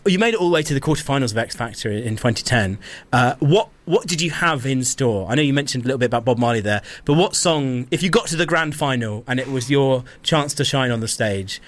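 A man's voice: medium pitch, expressive, fast. A man hosting a casual radio interview, chatty and friendly, laughing often as he asks questions. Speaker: radio show host.

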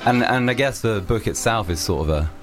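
A man's voice: on the low side, lively intonation, a little fast, over music. A young man, a musician, answering interview questions casually, rambling with many restarts and fillers. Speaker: musician guest.

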